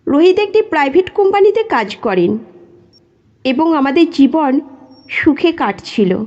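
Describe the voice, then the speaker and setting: A woman narrating a scripted first-person story in a measured, dramatic storytelling manner, with dull lo-fi sound. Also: high-pitched, very animated, darkish tone.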